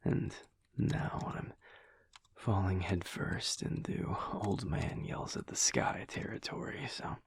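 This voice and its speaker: A low, breathy murmur from a werewolf husband played by a man in an intimate audio roleplay. He is wry, teasing and affectionate, half whispering with a gravelly creak and long, unhurried pauses. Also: lively intonation, heavily acted. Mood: wry grumbling. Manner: slow, hushed, wry drawl with pauses.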